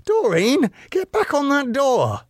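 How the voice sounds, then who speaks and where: Exasperated middle-aged male bar boss played by a male reader, pompous scolding in a raised pitch, henpecked underneath. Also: expressive, moderate pace, no room sound, studio quality, lightly acted. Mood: indignant. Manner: long-suffering, wordy scolding.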